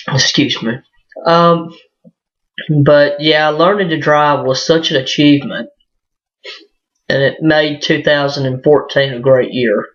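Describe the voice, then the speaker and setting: A hoarse man still recovering from a sinus illness, talking through a casual personal vlog with lots of fillers and restarts. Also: fairly high pitch, expressive, fairly bright tone. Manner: halting, rambling, many fillers and restarts. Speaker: vlogger. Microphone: built-in device mic, no external microphone. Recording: dull lo-fi built-in mic.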